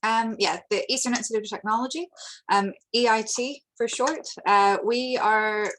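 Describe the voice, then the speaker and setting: An American woman from the United States giving a webinar presentation over slides, steady and informative, with frequent ums. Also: a little high, normal speed, rough recording. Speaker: international recruitment presenter.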